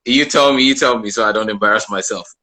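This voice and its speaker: A man's voice: lowish voice, brisk, sharp tone, poor audio. A man hosting a casual live interview show, speaking hesitantly and often repeating his phrases.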